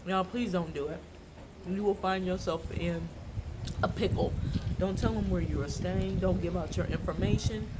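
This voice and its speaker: An American woman giving casual travel advice to the camera in an informal, emphatic, advisory way. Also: mid-range voice. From United States. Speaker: travel vlogger. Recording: clear background ambient noise.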